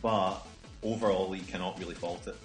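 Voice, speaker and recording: A man's voice: sits low, fairly even intonation, fairly quick. A young man co-hosting a casual gaming podcast, joking and swearing freely. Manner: jokey, swearing, laughs often. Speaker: podcast co-host. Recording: echoey room with faint music.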